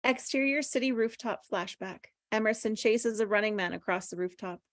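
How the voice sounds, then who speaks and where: A woman's voice: mid-range voice, moderate pace, a bit bright, dry recording. A woman reading screenplay stage directions aloud as scripted narration at a table read.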